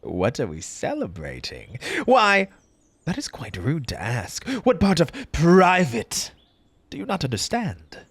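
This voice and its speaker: A man playing an eccentric, fussy tea-party host in an audio roleplay, pompous and formal in old-fashioned diction, with a breathy, husky voice and an undertone of grief. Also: on the high side, huge pitch swings, a little slow, no room sound, exaggerated voice. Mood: indignant, scolding. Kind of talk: theatrical character audio roleplay.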